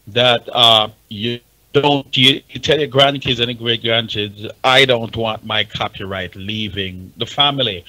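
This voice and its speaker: A man's voice: mid-range voice, bright, forceful tone, poor audio. A man with a Caribbean accent making his case emphatically in a panel discussion, repeating points and asking rhetorical questions. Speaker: talk show panellist on music business.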